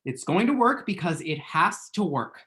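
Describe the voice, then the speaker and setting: A man's voice: a little high, big pitch swings, moderate pace, fairly bright tone. Man acting an anxious, rambling lovestruck young guy. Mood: anxious, determined. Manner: chatty, rambling, emphatic. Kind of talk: screenplay table read acting.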